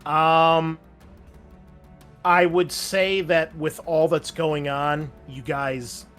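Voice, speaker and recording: A man's voice: a little high, level tone, drawn-out words, fairly bright tone, faint music behind. A man running a tabletop roleplaying game as game master, explaining rules and narrating with frequent fillers and restarts.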